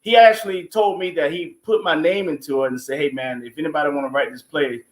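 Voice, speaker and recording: Guy from florida chatting as a guest, folksy and animated, highish voice, echoey room. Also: fast talker. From Florida, United States. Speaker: guest, playwright. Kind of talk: casual interview storytelling. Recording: roomy echo.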